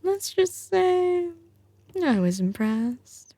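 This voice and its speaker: A woman's voice: extremely high pitch, very animated, drawn-out words, mellow, no echo, heavily acted. A woman playing a doting, confident mafia heiress girlfriend in an intimate ASMR roleplay, with a slight Southern US drawl and a tender, assured, often whispery delivery. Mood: fond, impressed.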